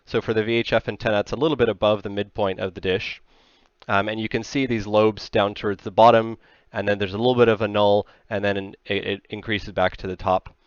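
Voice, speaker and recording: A man presents technical material in a fairly low voice. He explains step by step in a measured, conversational way. The sound is dry and close, with a slightly muffled, lo-fi top end. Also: level tone, smooth, no room sound. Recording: slightly dull, band-limited sound.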